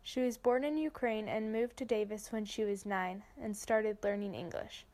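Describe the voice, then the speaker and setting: A teenage woman reading a student news report in a clear, measured way. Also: mid-range voice, fairly even intonation, darkish tone. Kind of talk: scripted news narration.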